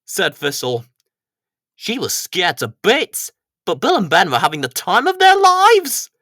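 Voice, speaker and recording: A man's voice: high-pitched, huge pitch swings, measured pace, bright, forceful tone, dry recording, studio quality. A man narrating a scripted children's story as storyteller.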